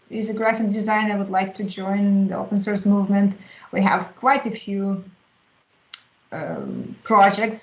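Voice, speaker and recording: A woman from Ukraine with a Ukrainian accent giving a measured webinar talk, pausing often with fillers. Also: medium pitch, echoey room, dull audio. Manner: measured, with frequent fillers and pauses. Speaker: open-source community speaker. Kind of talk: webinar presentation.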